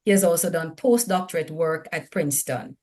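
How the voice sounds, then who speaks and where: A woman's voice: deep voice, brisk, a little mellow. A woman with a Jamaican accent hosting an online seminar, speaking to her audience in an upbeat, emphatic way. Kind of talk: live event hosting.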